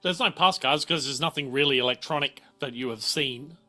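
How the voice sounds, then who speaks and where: A man's voice: medium pitch, a little fast, bright, forceful tone, faint music behind. A man, informally explaining and narrating a tabletop game as game master.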